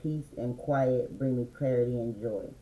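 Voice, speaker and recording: A man giving a relaxed, meandering spiritual card reading, reading affirmations aloud slowly, with many fillers. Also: medium pitch, reverberant, low-quality recording. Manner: slow, reads affirmations aloud. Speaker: spiritual reader.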